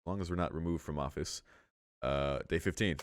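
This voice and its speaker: A man reading a visual novel aloud as a let's play host, in measured narration with casual, slangy asides. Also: rumbling low, no room sound, studio quality. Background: music.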